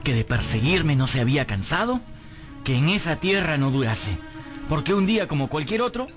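Hapless servant boy, deferential, earnest, plaintive storytelling, higher-pitched male tone, radio play, music behind. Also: very animated, normal speed. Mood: rueful, narrating. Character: humble, hapless young servant boy telling his own misfortunes. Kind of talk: radio drama acting and scripted narration.

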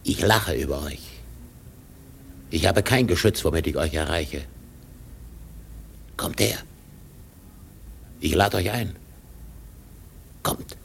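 An actor in a radio drama playing a brooding, defiant seafarer with a gravelly voice and a declamatory manner. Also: male voice, very deep voice, slow pace, long pauses, a little hiss or hum, full character voice. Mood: defiant, scornful. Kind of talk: German radio drama acting.

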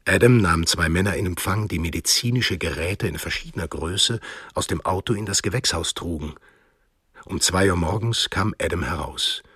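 A man's voice: sits low, moderate pace, fairly bright tone, slightly rough, dry recording, studio quality. A man reading scripted literary narration as the narrator of a radio play.

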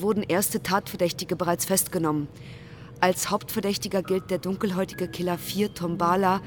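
A woman's voice: fairly low pitch, close to an everyday voice. A woman acting as a composed, formal, matter-of-fact news reporter in a German radio drama.

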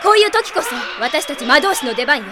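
An actress playing a bold, spirited young female mage, brisk and bossy, in a Japanese radio drama. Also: high-pitched, big pitch swings. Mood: eager, bossy. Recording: very noisy battle-scene drama mix.

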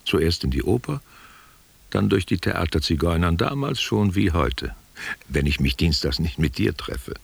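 A man playing a nostalgic, tender old man in a literary radio play, husky and gravelly, speaking intimately and reflectively. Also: sits low, big pitch swings, unhurried, no echo, full character voice. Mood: wistful reminiscing. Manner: intimate, reflective, drifting between memory and direct address. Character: nostalgic old man, former only child, tender and wistful.

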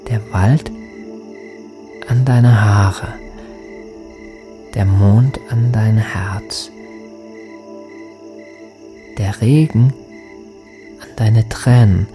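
An actor playing a lonely, grieving man in a radio drama, speaking a hushed, wistful poetic monologue to his lost beloved. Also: on the low side. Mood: tender, longing.

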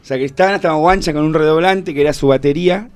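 A man rambling excitedly on a radio show, mocking himself. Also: fairly high pitch, fast talker, clear, resonant tone. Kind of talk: joking radio chat.

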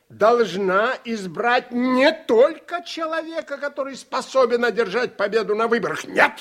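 An actor playing an old politician making a grave, emphatic speech in a theatrical radio play style. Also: male voice, high-pitched, a bit bright, exaggerated voice. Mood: pointed, declamatory. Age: older. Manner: rhetorical, emphatic, deliberate with pauses. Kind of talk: Russian radio play oratory.

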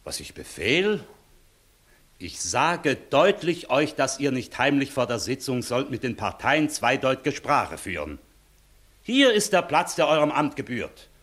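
An actor playing a stern, formal government official in a classical 1960s radio play, authoritative and precise. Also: male voice, mid-range voice, very animated, measured pace, a bit bright. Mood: stern reproof. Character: stern, formal visiting official reprimanding a judge. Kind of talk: 1960s German-language radio play, classical stage acting.

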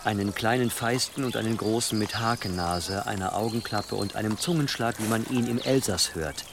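A man's voice: fairly low pitch, background noise, natural voice. An actor playing a loyal medieval envoy reporting formally and insistently to his lord in a radio drama.

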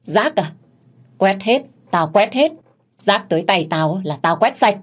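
Woman playing a defiant, sharp-tongued young housemaid. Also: lowish voice, lively intonation, brisk. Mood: fierce. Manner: retorting, stubborn.

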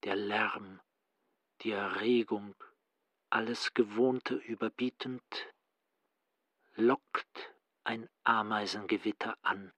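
An actor in a radio play playing a grave, philosophical inner voice, speaking in a deliberate, emphatic, rhetorical way. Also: male voice, lowish voice, steady tone, fairly bright tone, no echo. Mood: grave, intense. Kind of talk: radio drama acting, literary monologue.

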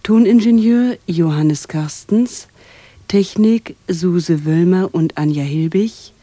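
A female radio announcer reads credits formally. She speaks slowly in a slightly low, mellow voice, close and dry. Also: no room sound.

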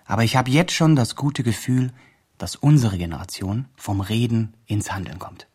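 A man's voice: lowish voice, moderate pace, a little mellow, slightly rough. An actor playing an earnest, upbeat young fundraising campaigner who narrates his progress in an eager, self-important way. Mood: hopeful, rallying. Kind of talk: scripted campaign diary narration.

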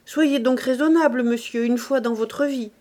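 An outspoken housemaid from a classic novel , put on by a woman narrator. She scolds her master in high, exclamatory phrases, alarmed and blunt. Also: moderate pace, dark tone, lightly acted. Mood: scolding.

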